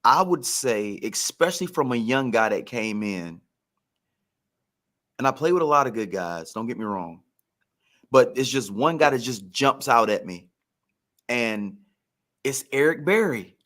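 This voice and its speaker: A man's voice: medium pitch, expressive, unhurried, pauses often, fairly bright tone. A man in casual sports talk, telling stories like a former player and repeating phrases for emphasis. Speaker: former pro football player, guest storyteller.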